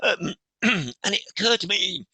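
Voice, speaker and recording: A middle-aged man, a podcast guest, talking with a strained, hoarse voice in halting phrases that break off and restart. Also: high-pitched, animated, sharp tone, no room sound. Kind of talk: interview conversation.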